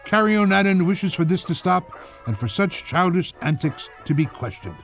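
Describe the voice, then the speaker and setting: A man delivering a spoof station editorial with a mock-serious announcer manner. His voice is a little high and swings widely in pitch, over faint music. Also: animated, moderate pace.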